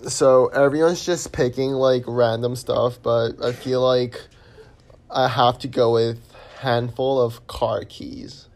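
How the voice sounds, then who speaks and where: A man's voice: mid-range voice, steady tone, slow. A young man rambling with lots of fillers and giggles in a casual podcast chat. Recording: loud noisy background with clicks.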